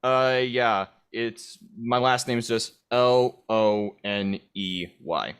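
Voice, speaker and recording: A man's voice: mid-range voice, slow pace, fairly bright tone, clear, resonant tone. A man who does voice acting, chatting casually in a rambling way. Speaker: amateur voice actor. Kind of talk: casual chat.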